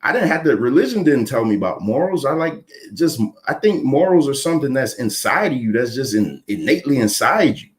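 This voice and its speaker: A man's voice: mid-range voice, very animated, fairly quick, few pauses. A man in his thirties giving blunt opinions in a casual panel chat, often repeating words as he starts a point. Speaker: podcast panelist.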